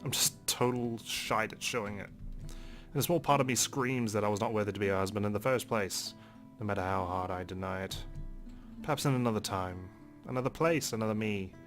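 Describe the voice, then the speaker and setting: Man, low voice, dramatic narration, visual novel let's play, game music behind. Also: fairly quick, a bit bright. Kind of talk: reading a visual novel aloud.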